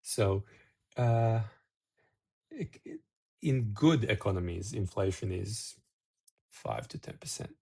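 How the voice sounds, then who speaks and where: A man's voice: lowish voice, lively intonation, takes it slowly, vocal fry, pauses often, a little mellow, slightly rough. A middle-aged man from Serbia hosting a casual talk-to-camera video, rambling with restarts and asides. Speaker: video host.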